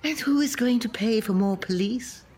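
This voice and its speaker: A woman's voice: fairly high pitch, moderate pace, darkish tone, slight background noise, lightly acted. A woman playing a pious, serene elderly friend in an audio play, sweetly polite with dry retorts. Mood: challenging. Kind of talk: scripted two-hander audio comedy-drama.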